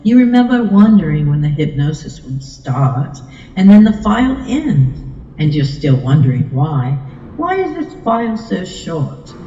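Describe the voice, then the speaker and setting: A woman's voice: fairly low pitch, big pitch swings, measured pace, mellow. A woman performing an ASMR hypnosis monologue, coaxing the listener with soothing, repetitive suggestions. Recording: muffled, echoey with long reverb.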